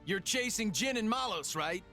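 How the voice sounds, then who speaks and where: An actor playing a weary, cynical man who hates the world yet is wistful, in a dramatic video game cutscene, slow and resigned. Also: sits high, expressive, bright, forceful tone. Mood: bitter, weary. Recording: music under the voice.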